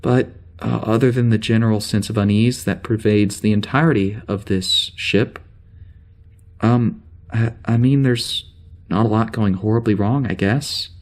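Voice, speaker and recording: A man's voice: lowish voice, fairly even intonation, measured pace, a little mellow. A man playing an anxious, rambling spaceship security officer in an audio drama, speaking a log in a hesitant, wry, self-correcting way. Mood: wry unease.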